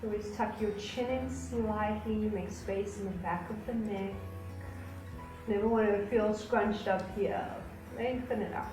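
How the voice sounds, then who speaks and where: A woman yoga instructor leading a guided relaxation class in a soothing, unhurried manner with gentle pauses. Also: medium pitch, fairly even intonation. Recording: roomy echo with faint music behind.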